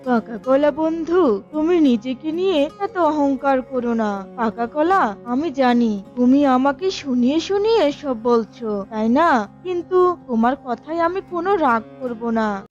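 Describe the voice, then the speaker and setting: A woman's voice: high voice, expressive, unhurried, darkish tone, faint music behind. A woman voicing a modest young green banana in a cartoon, earnest and reproachful, on a dull recording. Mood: patient reproach. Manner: earnest, admonishing. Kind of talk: cartoon character dialogue.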